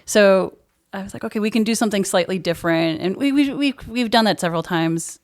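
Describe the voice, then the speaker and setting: A woman's voice: medium pitch, fairly quick. An American woman chatting informally as a theatre director guest on a casual podcast, often dropping in "like" as she explains.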